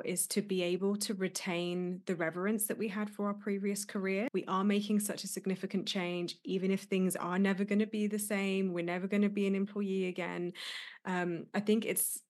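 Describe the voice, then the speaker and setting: A woman's voice: mid-range voice, darkish tone. A woman hosting a podcast and interviewing a guest, speaking in an encouraging, thoughtful way. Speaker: podcast host, coach.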